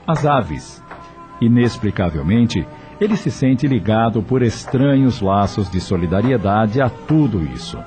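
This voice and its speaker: A man narrating a radio drama from a script in an expressive, literary storytelling manner. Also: on the low side.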